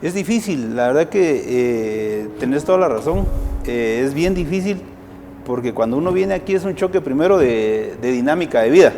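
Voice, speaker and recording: A man's voice: mid-range voice, lively intonation, moderate pace, dark tone, in a large hall, slight background noise. A man from Guatemala with a Guatemalan Spanish accent, answering interview questions in a hesitant way, with frequent fillers and restarts. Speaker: guest, civil society activist.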